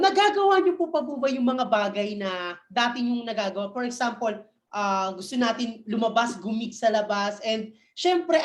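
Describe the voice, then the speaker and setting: A young Filipino woman with a Filipino accent, politely asking interview questions as a student. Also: fairly high pitch. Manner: polite and formal.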